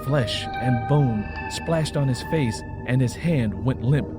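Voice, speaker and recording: A man's voice: mid-range voice, slow. A man narrating a scripted story in a dramatic style. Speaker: author and narrator. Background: music.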